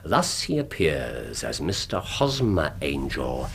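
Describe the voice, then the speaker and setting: An actor playing a shrewd, scornful Victorian detective in a British radio drama, English accent, speaking with precise, theatrical delivery. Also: male voice, a bass voice, wildly swooping pitch, takes it slowly, creaky, a bit bright, slight background noise, heavily acted. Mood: expounding, dry.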